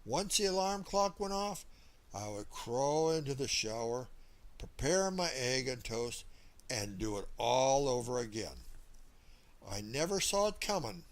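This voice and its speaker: Animated male storyteller with swooping pitch. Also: measured pace. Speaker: audiobook narrator. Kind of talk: first-person memoir narration, storytelling read from a script.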